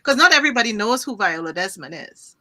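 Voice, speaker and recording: A woman's voice: a little high, big pitch swings, fast talker, pushed and bright, slight background noise. A woman hosting an online talk show, interviewing guests in a bubbly, playful, effusive way. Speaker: host.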